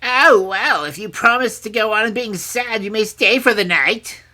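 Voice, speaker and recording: A mean little old crone from a storybook. Her voice is pitched shrilly high and cuts sharply. She grumbles, scorns and haggles, swooping up and down with greedy mockery. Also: expressive, unhurried, sharp tone. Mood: mocking. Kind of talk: storybook character voice.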